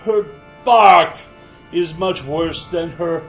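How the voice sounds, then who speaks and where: A man playing a gleeful, ghoulish horror host in a retro radio drama, theatrical and drawn-out with mock courtesy. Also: fairly high pitch, roomy sound, soft background music. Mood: gleefully macabre.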